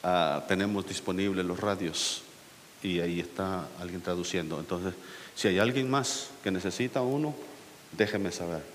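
A man preaching a sermon, putting questions to the congregation and climbing to emphatic peaks. His voice sits fairly low. It rings through a long church reverb over a faint hiss. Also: moderate pace. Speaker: pastor. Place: church sanctuary.

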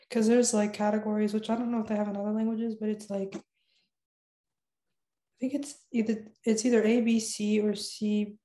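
A woman's voice: mid-range voice, mellow, reverberant. A young woman whose first language is Spanish, chatting casually and laughing often, with lots of "like" fillers. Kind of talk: casual chat.

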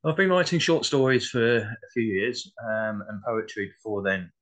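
Male guest, lowish pitch, casual interview chat, modest, reflective. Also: lively intonation, moderate pace, a bit bright. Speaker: interview guest, playwright and actor.

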